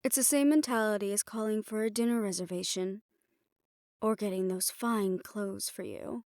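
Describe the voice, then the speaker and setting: A woman's voice: mid-range voice, steady tone, moderate pace, darkish tone, no echo, studio quality, close to an everyday voice. A woman playing a poised, imperious hotel manager in an audio roleplay, speaking formally and with polite condescension. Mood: pointedly polite. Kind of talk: scripted audio roleplay addressed to the listener.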